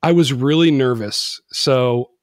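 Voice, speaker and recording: A man's voice: medium pitch, slow pace, dry recording. Dry, self-mocking male podcast host. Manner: laughs often, self-deprecating. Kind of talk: casual conversation.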